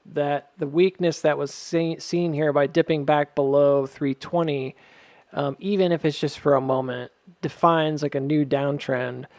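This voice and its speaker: A man with a slightly high voice talks through market analysis in a casual, off-the-cuff way. He hedges often, reasons aloud and checks in with his listeners. The sound is very dry, on a close mic. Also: a little mellow, no room sound. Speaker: host of a stock chart analysis video. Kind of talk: casual unscripted market commentary.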